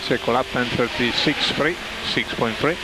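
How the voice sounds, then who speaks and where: Male race engineer, mid-pitched, clipped delivery of numbers and instructions through a noisy radio link. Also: moderate pace. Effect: team radio. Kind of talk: terse technical radio instructions.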